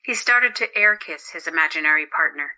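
A woman's voice: sits low, lively intonation, brisk, sharp tone, highs cut off. A woman narrating a scripted story as the narrator of an audio drama.

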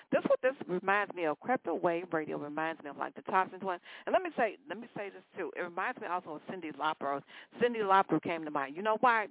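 A woman's voice: fairly low pitch, creaky, dry recording. A sixty-year-old woman DJ chats between records, looping back on her own phrases and calling her listeners y'all and baby. The sound is thin and phone-like, with no room around it. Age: 60s. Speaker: radio DJ. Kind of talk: casual on-air chat between songs.

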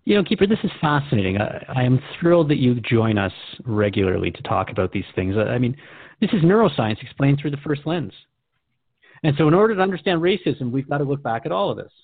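A man's voice: mid-range voice, big pitch swings, fast, no echo. A man hosting a radio drama dialogue, informal and keen, recapping points and asking questions in a conversational way. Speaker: radio host. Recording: dry, dull phone-like narrow sound.

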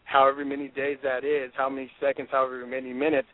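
Young guy in his 20s on a tinny phone line, pumped and sure of himself, flat steady delivery. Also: mid-range voice, fast talker. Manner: intense, confident, driven. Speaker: football player, draft prospect guest. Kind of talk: phone-in interview.

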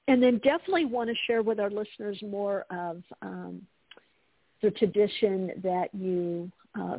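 A woman's voice: mid-range voice, no room sound. A woman hosting an interview over a video call, bubbly and chatty, asking long rambling questions. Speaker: interview host. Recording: dry, phone-like narrow line.

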